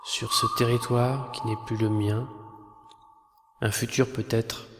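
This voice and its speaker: A man recites poetry as a narrator, solemn and measured, pausing between phrases. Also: medium pitch, flat delivery. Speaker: poet narrator. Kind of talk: poetry recitation over a film. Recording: long reverb, clear background noise.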